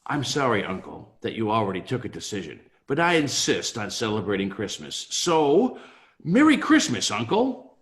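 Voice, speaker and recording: A man's voice: lowish voice, very animated, normal speed, a bit bright. A man as an earnest, wildly swooping young nephew. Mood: disappointed, then cheerful. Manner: emphatic, imploring, theatrical. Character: cheerful, earnest, pleading nephew of a miser. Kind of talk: amateur table read of a Victorian Christmas story.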